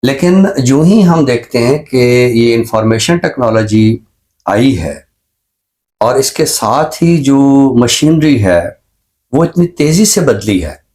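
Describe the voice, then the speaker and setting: A man with a Pakistani accent speaking as a talk show expert in a reflective discussion, explaining in measured, repeated phrases with examples. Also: medium pitch, a little mellow. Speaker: expert guest on a literary and social talk show.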